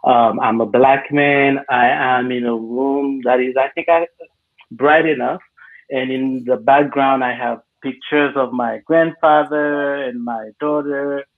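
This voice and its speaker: Man from Haiti, very slow and hesitant delivery, tinny phone-quality video-call audio. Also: medium pitch, fairly even intonation. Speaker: panelist, filmmaker. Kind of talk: self-description on a video panel. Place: room at home with plants.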